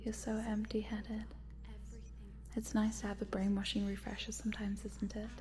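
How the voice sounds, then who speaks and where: A woman playing a cute but sinister scientist in an ASMR roleplay, coaxing in a soft, breathy whisper. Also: mid-range voice, monotone, normal speed, natural voice. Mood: soothing, teasing. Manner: hushed, coaxing, murmuring, giggly asides. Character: cute sinister lady scientist, chatty, coaxing, quietly gleeful.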